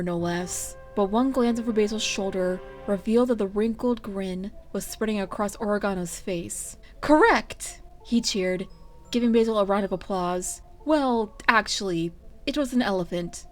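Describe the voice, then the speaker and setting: A woman's voice: medium pitch, dark tone. A woman reading scripted story narration aloud as the narrator. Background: music.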